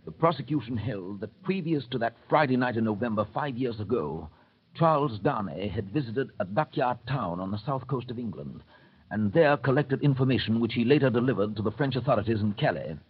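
Husky, grave radio storyteller. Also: male voice, medium pitch, expressive, a little fast. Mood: grave, suspenseful. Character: grave, measured male storyteller. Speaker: narrator. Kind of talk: old-time radio drama narration. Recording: tinny, narrow vintage radio recording.

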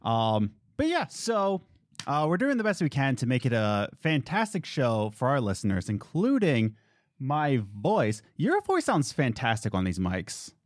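A male podcast co-host in casual chat who shifts between a low relaxed voice and loud, high bursts when excited. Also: unhurried, no room sound, studio quality. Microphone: studio podcast mic.

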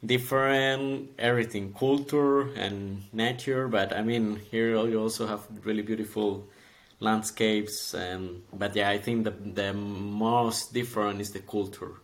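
A man's voice: fairly low pitch, steady tone, a little slow. A man in his twenties from Costa Rica with a Costa Rican accent, chatting in a friendly way as a podcast guest who laughs often. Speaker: podcast guest, calisthenics athlete. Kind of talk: casual interview.

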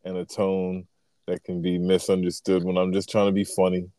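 Podcast guest: man, very low deep voice, dry close sound, sarcastic joker. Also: monotone, moderate pace, mellow, no room sound. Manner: sarcastic jokester, laid-back slangy talk. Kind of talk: casual conversation.

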